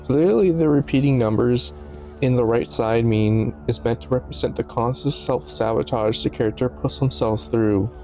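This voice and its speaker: A man's voice: medium pitch, moderate pace, dark tone, poor audio. A man giving casual, analytical video commentary in a musing, slightly stumbling way, with offhand asides. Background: background music.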